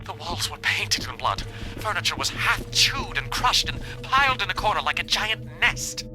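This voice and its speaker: A man's voice: high voice, big pitch swings, moderate pace, soft background music, poor audio. A man voicing a hotel manager in a video game who recounts grisly horrors in a grim, dramatic way. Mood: grim, horrified. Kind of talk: video game character acting.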